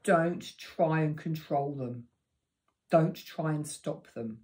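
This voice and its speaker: A woman in her sixties with a croaky voice, giving practical meditation tips to the camera in a chatty, unhurried way from her living room. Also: deep voice, a little mellow. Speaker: meditation teacher. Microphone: camera in front of her.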